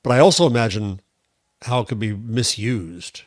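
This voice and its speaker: Halting male commentator, very dry sound. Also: on the low side, expressive, dry recording. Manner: halting, repeats words while searching for phrases. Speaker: podcast co-host and commentator. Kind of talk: casual opinionated commentary.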